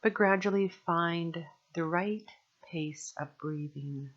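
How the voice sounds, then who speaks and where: A woman guiding a meditation as a teacher, speaking gently and soothingly in measured phrases with long pauses. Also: fairly low pitch, highs cut off. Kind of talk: guided meditation narration.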